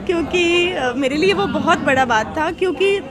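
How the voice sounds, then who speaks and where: High-pitched woman chatting over crowd chatter. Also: normal speed. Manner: informal, giggly, often laughing. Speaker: singer being interviewed. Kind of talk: casual interview chat.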